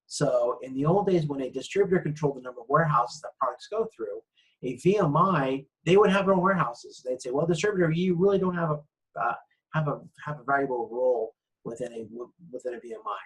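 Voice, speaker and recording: A man lecturing in an informal, conversational way, explaining things a little haltingly. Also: mid-range voice, animated, poor audio.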